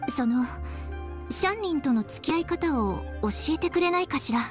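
A woman's voice: high voice, lively intonation, normal speed, over music. A woman playing a capable, proud young woman in an anime-style game, composed and prim but shy about her feelings. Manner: composed, prim, slightly stiff.

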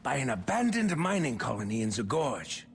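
An actor playing a wry, eccentric undercover agent in a video game, playful in delivery. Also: male voice, mid-range voice, big pitch swings, normal speed, creaky, a little hiss or hum. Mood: wry, conspiratorial.

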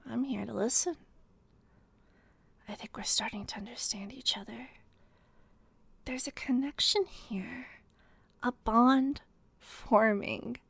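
An obsessed female detective, acted by a woman in an intimate ASMR roleplay, toying with a captive. Her voice is rather high yet breathy, with creaky edges, and she pauses deliberately as she teases. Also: full character voice. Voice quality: breathy, whispery. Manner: slow, deliberate, teasing, pausing.

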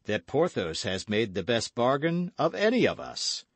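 A man voicing a grave, dry-witted gentleman musketeer in a measured, understated way for a storybook reading. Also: mid-range voice, sing-song, no room sound. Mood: dryly amused.

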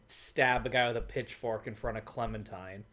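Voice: mid-range voice, steady tone, moderate pace, fairly bright tone. A let's-player giving casual, irreverent reaction commentary with crude jokes while playing a game. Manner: irreverent, crude joking, mock-dramatic reading aloud. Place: indoors, voice over a visual novel playthrough.

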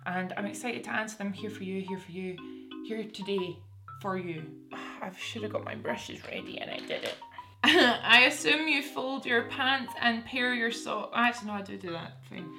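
A woman's voice: medium pitch, moderate pace, music in the background. A young woman with a Scottish accent chatting casually to camera in a small room, answering viewers' assumptions in a self-deprecating way with lots of restarts. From Scotland. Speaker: beauty vlogger. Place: small indoor room with curtain backdrop.

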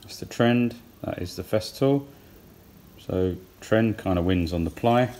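A man's voice: lowish voice, unhurried, a little mellow, slight background noise. A man in his thirties, plainly and practically talking through saw blade tests in his woodworking workshop. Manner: plain, practical, thinking aloud. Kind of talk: workshop tool review.

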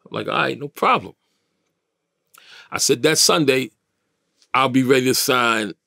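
A man in his fifties with a gravelly voice and a New York accent, telling a story in slangy, emphatic, streetwise interview talk. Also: mid-range voice, measured pace, pushed and bright, dry recording, clean studio sound. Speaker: interview guest. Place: small indoor recording studio, dry sound.

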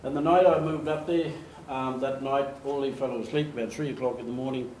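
An older man tells stories in lively fashion from a lectern, recorded by a camera across an echoey meeting room. His voice sits at an average pitch. A faint room ambience hangs behind him. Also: fast talker, a little hiss or hum. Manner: animated, gestures, acts out quoted voices. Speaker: speaker giving a slide talk. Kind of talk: storytelling presentation. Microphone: far camera.

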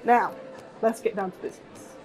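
A woman's voice: on the high side, steady tone, moderate pace, background noise, close to an everyday voice. A woman voicing a brash, hearty pony deputy, tough but fond and commanding, in an audio drama. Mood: brisk.

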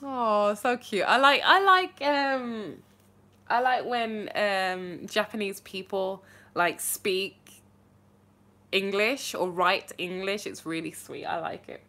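A young woman chatting casually to viewers on a live stream from her bedroom, bubbly and animated, with her phone held at arm's length. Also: mid-range voice, slow. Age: young adult. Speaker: livestream host answering viewer questions. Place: small bedroom indoors.

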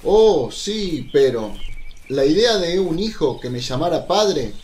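Man acting elderly humble fisherman, radio drama, animated intonation, slightly high pitch, earnest, self-doubting. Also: slow pace. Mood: pleading. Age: older. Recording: noisy, birdsong ambience behind.

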